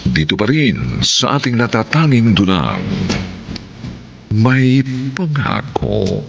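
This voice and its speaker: A man's voice: medium pitch, wildly swooping pitch, slow pace, vocal fry. A man announcing a radio drama in a formal, theatrical, scripted style. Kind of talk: scripted dramatic programme introduction. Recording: muffled broadcast, faint background noise.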